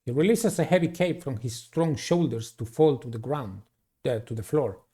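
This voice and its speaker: A man's voice: mid-range voice, lively intonation, moderate pace, mellow, slightly rough. A man reading a visual novel aloud as a playthrough, with dramatic, theatrical storytelling. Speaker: game player reading aloud.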